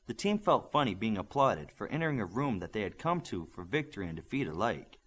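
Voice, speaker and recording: Expressive male audiobook narrator. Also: on the low side, fairly quick, husky. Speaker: audiobook reader. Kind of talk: scripted narration.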